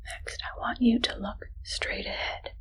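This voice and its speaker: Slow whispering evil woman scientist, acted out. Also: lightly acted. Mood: sweetly sinister. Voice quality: breathy whisper. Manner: slow, soft, playful, coaxing. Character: friendly but evil woman scientist, doting and sinister. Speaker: ASMR roleplay performer. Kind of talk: whispered ASMR medical roleplay.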